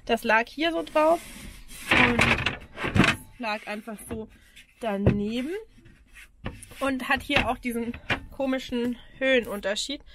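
A woman's voice: on the high side, slow, long pauses, very noisy. A woman in her forties with an Austrian accent, talking casually and frankly to the camera in a camper van, with frequent ums and small laughs. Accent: Austrian German. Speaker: vlogger. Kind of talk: casual vlog walkthrough. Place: in and around a camper van outdoors. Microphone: action camera held at arm's length.

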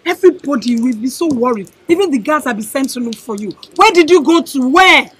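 A woman's voice: a little high, animated, quick pace, background noise, lightly acted. A woman playing an anxious queen who fusses over her daughter. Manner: fretful, pleading. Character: anxious fussing queen mother.

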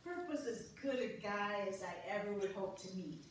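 A woman's voice: medium pitch, animated, normal speed, natural voice. A woman playing a frank, wry wife telling her story in an amateur reader's theater, recorded far away in a church. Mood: wry, nostalgic. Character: frank wife Joanna, former Manhattan finance woman. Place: on stage in a church, far camera. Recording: very echoey hall, distant mic, noisy.

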